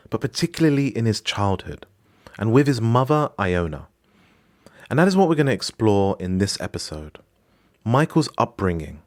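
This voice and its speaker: A man from Morocco hosting a podcast, giving scripted documentary narration in an emphatic, personal storytelling manner. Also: on the low side. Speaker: podcast host.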